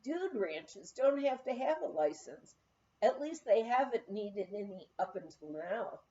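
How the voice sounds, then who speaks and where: A woman's voice: medium pitch, animated, unhurried, dark tone, dull audio, natural voice. A woman voicing a motherly, capable older lodge manager with an assured, practical manner in a memoir reading. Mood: reassuring. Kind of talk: storybook-style character reading.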